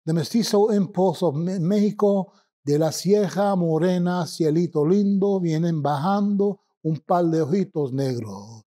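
Puerto Rican-accented man with a mellow voice. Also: high voice. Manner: rambling, reminiscing, with filler pauses. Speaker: poet guest. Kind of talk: relaxed conversational storytelling.

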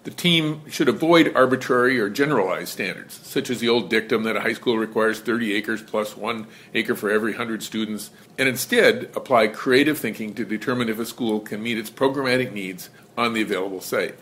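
A man presenting an educational lesson in measured, scripted narration. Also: on the low side, a bit bright, a little hiss or hum. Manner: measured, explanatory.

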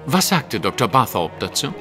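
Ein Schauspieler spricht einen scharfsinnigen, beherrschten viktorianischen Detektiv in einem deutschen Hörspiel, präzise, förmlich und nachforschend. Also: male voice, mid-range voice, big pitch swings, normal speed, music in the background, natural voice. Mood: probing. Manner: precise, formal, probing. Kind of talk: German audio drama acting.

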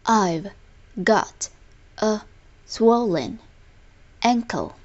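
A woman teaching a language in scripted narration, enunciating example phrases deliberately, word by word. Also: medium pitch, lively intonation, dull audio.

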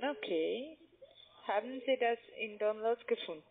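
A woman's voice: fairly high pitch, expressive, measured pace, a crowd in the background. Insistent woman on a tinny phone. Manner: insistent, tag questions. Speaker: call centre trading agent. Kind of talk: scripted sales phone call in non-native German. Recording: narrow, tinny phone line.

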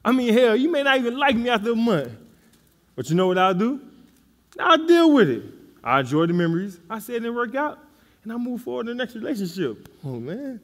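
A man's voice: extremely high pitch, big pitch swings, fairly quick, a bit bright, heavily acted. A man playing a laid-back, noncommittal young boyfriend in a comedic stage play, speaking casually and with mild exasperation. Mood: calm, frank. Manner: casual, hedging, mildly exasperated. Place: theatre stage, indoors. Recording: noisy stage recording from far off.

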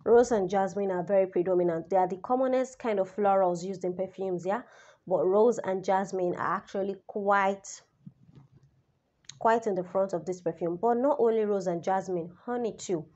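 A young woman reviewing a perfume in a casual vlog, slightly raspy as she recovers from the flu, talking chattily and straight to her viewers. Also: mid-range voice, normal speed, muffled recording. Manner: chatty and expressive, addresses viewers directly. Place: indoors, small room, seated. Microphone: camera in front, head-and-shoulders framing.

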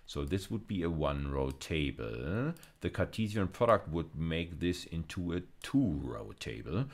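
A man, lecturing step by step in a deliberate, explanatory way with frequent check-in tags. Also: rumbling low. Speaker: university lecturer. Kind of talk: explanatory video lecture with live coding demo.

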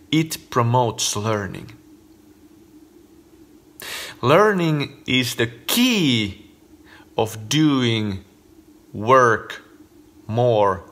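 A man in his thirties with a Finnish accent, hosting a casual vlog and making emphatic points to a phone camera in his living room. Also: mid-range voice. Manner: animated, emphatic, gesturing with fingers while counting points. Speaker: host. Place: living room at home, indoors. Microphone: phone camera at arm's length.

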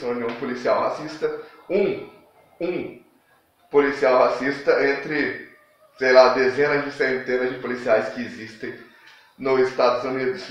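A man's voice: mid-range voice, slight background noise. A Brazilian man hosting a casual, slangy opinion vlog, rambling informally in a self-deprecating way. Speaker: YouTube channel host. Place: small dim room at home, indoors. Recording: dull lo-fi, very echoey room.

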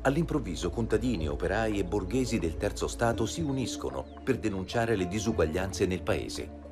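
Documentary narrator, low voice, lively, clear music under. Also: male voice. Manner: measured, even delivery.

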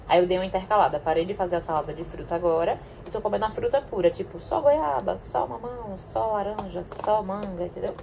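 A woman's voice: medium pitch, quick pace, vocal fry, hardly any pauses, noisy background. A young woman chatting casually to her viewers while sorting food in her home kitchen, filmed by a camera on the counter. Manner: chatty, addresses viewers often. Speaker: vlogger. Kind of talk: casual kitchen vlog chat.

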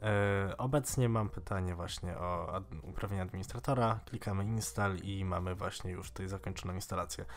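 A man in his twenties giving a casual, informal software tutorial in a slightly halting way. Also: low voice, steady tone. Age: 20s. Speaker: tech tutorial host.

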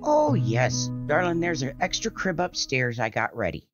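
Kindly elderly farm grandmother played by a woman, audio drama, very low swooping voice, soothing. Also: huge pitch swings, moderate pace, exaggerated voice. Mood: welcoming. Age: older. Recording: muffled audio under guitar music.

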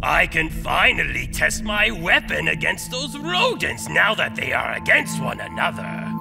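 A man playing a villainous, bombastic mad scientist with a gravelly voice in a cartoon comic dub. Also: fairly high pitch, sing-song, measured pace, exaggerated voice. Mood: gloating menace. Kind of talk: animated comic dub acting. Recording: loud music under the voice.

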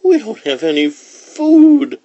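A man voicing excitable, complaining little boys in a children's storybook, exclaiming in a whiny manner. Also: extremely high pitch, normal speed, dull audio, pitched above the performer's own voice. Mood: indignant, whiny.

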